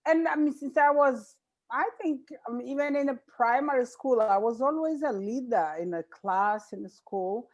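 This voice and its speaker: A woman's voice: sits high, normal speed, dull audio. A woman from Tanzania, a missionary sister, talking about her life in an earnest, reflective way on a video call. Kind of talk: casual conversation on a video call.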